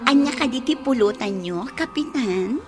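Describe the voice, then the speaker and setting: A woman's voice: fairly high pitch, animated, moderate pace, close to an everyday voice. A woman with an Ilocano accent playing a teasing village drinks server in a comedy radio drama. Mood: attentive. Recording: music playing under the voice. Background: music.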